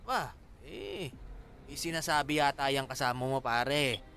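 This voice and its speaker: An actor playing a menacing, mocking drunken thug who bullies and taunts in a radio drama. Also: male voice, medium pitch, unhurried, sharp tone. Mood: threatening. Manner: taunting, swaggering, punctuated with mocking laughter.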